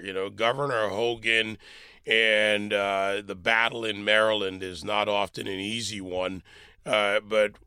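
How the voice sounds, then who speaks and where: A man's voice: lowish voice, bright, forceful tone, clean tone, no room sound, clean studio sound. A man hosting a talk show, asking a guest long, winding questions full of filler words. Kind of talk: interviewing a guest.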